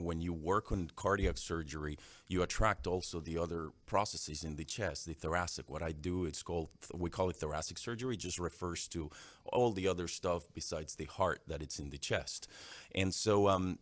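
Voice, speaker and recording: A middle-aged man from Venezuela with a very low, deep voice talks casually as a surgeon guest. He pauses and restarts often and leans on fillers. The sound is dry and close. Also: no echo. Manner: halting, many fillers and restarts. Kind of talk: casual interview answers.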